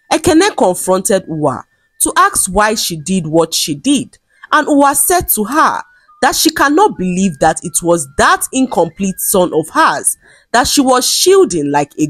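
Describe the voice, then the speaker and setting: A woman narrates a scripted folktale in a measured, dramatic storytelling manner. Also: mid-range voice, husky, no room sound. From Nigeria.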